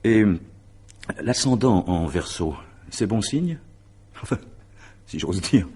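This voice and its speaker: A deep husky businessman, sceptical but polite, kinda flustered, old french radio play style. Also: very animated, darkish tone, full character voice. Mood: discreet, uneasy. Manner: halting, incredulous, polite. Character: sceptical, impulsive businessman, married, courteous, flustered. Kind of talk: 1950s-style French radio drama acting.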